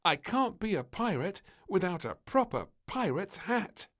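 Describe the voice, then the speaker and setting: Guy doing a squeaky high little princess girl for a kids storybook, bossy and cheeky, bouncy childlike exclaiming. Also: big pitch swings, a little slow, a bit bright, exaggerated voice. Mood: eager.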